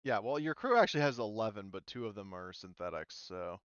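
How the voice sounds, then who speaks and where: A man running a tabletop roleplaying game, casually explaining rules in a halting, rambling, self-interrupting way. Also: fairly low pitch, expressive, a bit bright, smooth, dry recording, lo-fi sound. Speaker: game master.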